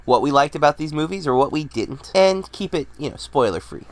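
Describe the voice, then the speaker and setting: A man's voice: on the high side, brisk. An American man, around his thirties, co-hosting a casual movie podcast, slightly nasal from a head cold and talking in a loose, rambling way. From United States. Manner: relaxed, rambling with fillers and restarts. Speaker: co-host.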